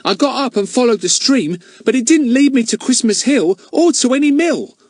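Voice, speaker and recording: A man's voice: extremely high pitch, animated, normal speed, no room sound, clean studio sound, pitched above the performer's own voice. A man reading aloud and voicing a sulky, stubborn boy who protests indignantly. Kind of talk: audiobook character voice.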